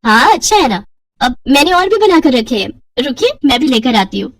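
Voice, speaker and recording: A woman's voice: sits high, big pitch swings, moderate pace, distant mic, exaggerated voice. A woman voicing a sweet, eager young woman in a Hindi cartoon story.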